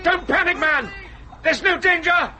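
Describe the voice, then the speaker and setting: A man playing a frantic rescuer in a radio drama, shouting urgent, exasperated reassurance. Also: extremely high pitch, measured pace, highs cut off, soft background music, some chatter behind, full character voice. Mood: urgent, pleading. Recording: noisy radio drama, commotion behind.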